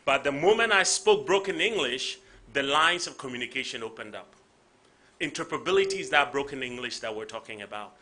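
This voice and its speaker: A man's voice: mid-range voice, lively intonation, brisk, bright, forceful tone. A Ghanaian man giving a rallying conference speech, repeating phrases for emphasis. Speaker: panel speaker.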